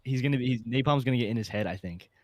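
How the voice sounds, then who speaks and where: A man's voice: medium pitch, very fast, crowd noise. A man talking casually and animatedly among friends on a podcast. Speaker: podcast panelist. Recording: clicks and faint background noise.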